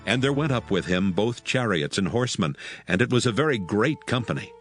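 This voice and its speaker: A man's voice: lowish voice, animated, a little fast. A man narrating scripture as the storyteller of a dramatized audio Bible. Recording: music under the voice.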